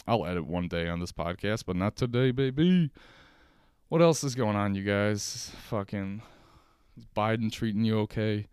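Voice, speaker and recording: An American man with a low, smooth voice talks casually as a solo podcast host. He rambles along in loose, slangy phrasing. The sound is dry and close, with very little room in it. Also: darkish tone, no echo. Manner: rambling, informal, slangy, peppered with profanity. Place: at a kitchen table at home.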